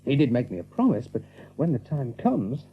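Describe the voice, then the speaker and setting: A man's voice: medium pitch, huge pitch swings, moderate pace, phone-quality sound, soft background music. A man playing an earnest, cautious rabbit leader who questions things, in an audiobook drama. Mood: scheming, wary. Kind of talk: audiobook drama character acting.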